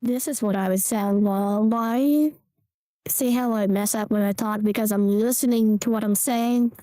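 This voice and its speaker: A man through a voice changer as a high-pitched monster. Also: fairly even intonation, normal speed, dark tone, no echo. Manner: chatty, self-commenting. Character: monster voice preset.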